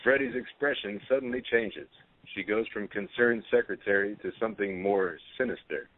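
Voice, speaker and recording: A man's voice: fairly low pitch, moderate pace, no echo. A man reading stage directions as scripted narration for an audio play. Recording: dry, narrow phone-like sound.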